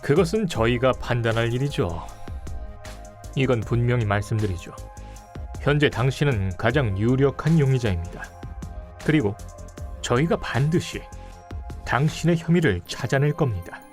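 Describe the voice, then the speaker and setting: A man playing a stern, suspicious police detective in an audio drama, speaking deliberately and pointedly. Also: medium pitch, expressive, soft background music, close to an everyday voice. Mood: accusing, sardonic.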